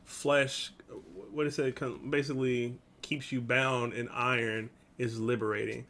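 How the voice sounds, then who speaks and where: A man's voice: medium pitch, flat delivery, a little slow. A man hosting a reaction video, giving casual, slangy commentary. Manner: informal, slangy. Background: music.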